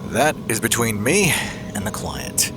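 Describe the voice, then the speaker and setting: A man's voice: medium pitch, very animated, a little slow, over music. A man playing a hard-bitten, commanding starship captain in a sci-fi audio drama, stern and world-weary. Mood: stern, measured.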